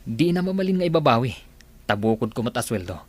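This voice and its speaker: A rich young man's son, played by a man speaks in a story drama. The voice is mid-pitched and husky, that of someone who wants to stand on his own. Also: sing-song, fast talker. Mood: eager, determined.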